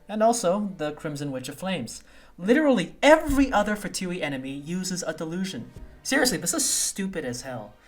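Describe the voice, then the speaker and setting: A reviewer giving casual, slangy video commentary on a game story, sarcastic and exasperated as they rant. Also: medium pitch.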